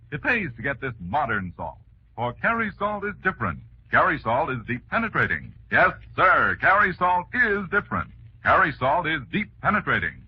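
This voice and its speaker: A man's voice: on the high side, breathy edge. A man with an American accent announcing and reading advertising in emphatic 1940s radio style. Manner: emphatic sales delivery. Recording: muffled 1940s radio recording.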